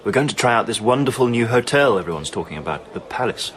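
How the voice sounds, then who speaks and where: A man's voice: medium pitch, expressive, quick pace, fairly bright tone, close to an everyday voice. A wry, teasing family man and elder brother played by a man in a radio drama. His wordy, ironic speech swoops expressively, with some street noise behind him. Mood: encouraging.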